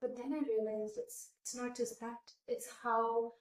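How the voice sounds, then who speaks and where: A woman's voice: on the high side, steady tone, measured pace, echoey room, far from the mic, slight background noise. A woman in her twenties from India whose first language is Hindi, earnestly answering interview questions with many fillers and restarts. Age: 20s.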